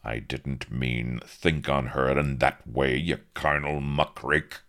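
A man's voice: rumbling low, steady tone, takes it slowly, a bit bright, heavily acted. A man playing a pompous archaic captain. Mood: indignant. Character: haughty stiff-necked male pirate-hunter captain.

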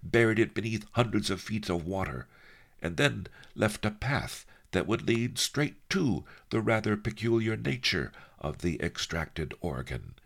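A man voicing a sly, commanding old sage in a story, with a deliberate, shrewd way of talking. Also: lowish voice, expressive, vocal fry, a bit bright, pitched above the performer's own voice, full character voice.

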